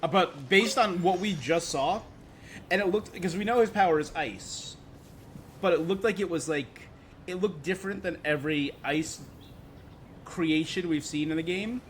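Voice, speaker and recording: A man's voice: fairly high pitch, normal speed, slight background noise. A man streaming a game, chatting casually and thinking aloud in a rambling, opinionated way. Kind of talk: casual livestream gaming chat. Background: game music.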